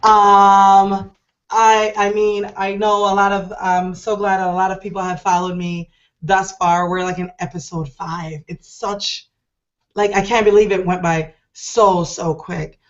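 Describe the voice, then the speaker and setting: A middle-aged woman hosting an online show, hoarse and deep-voiced from a cold, talking in a chatty, rambling, enthusiastic way. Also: little pitch movement, unhurried, dull audio. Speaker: host. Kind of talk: casual online show talk.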